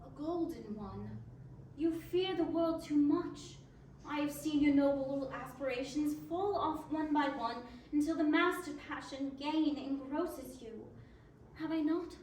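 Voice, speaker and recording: A woman's voice: high voice, unhurried, a little mellow, slight background noise. In a very echoey room, at a distance, a woman performs a young betrothed lady. She is sorrowful yet resolute, speaking with formal, earnest restraint in a stage reading. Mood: sad, reproachful. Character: sad, resolute young fiancée releasing her betrothed. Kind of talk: readers theater stage reading.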